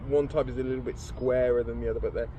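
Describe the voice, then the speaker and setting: A man's voice: medium pitch, fairly even intonation, fast, noisy background. A man co-hosting a podcast in casual chat, rambling and restarting as he explains things. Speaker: podcast co-host.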